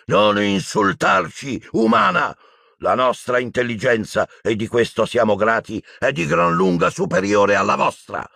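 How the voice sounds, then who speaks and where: A man playing a proud male centaur leader with a rough, gravelly voice. He speaks sternly and with scorn, pushing his words up hard. The recording is dry and close. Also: medium pitch, very animated, normal speed, few pauses, a bit bright, no echo, voice pushed up, heavily acted. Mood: indignant.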